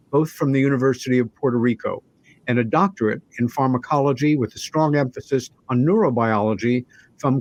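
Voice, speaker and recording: A man hosting a talk show, speaking in a measured, deliberate, conversational way. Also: medium pitch, a little mellow. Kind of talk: conversational show introduction and interviewing.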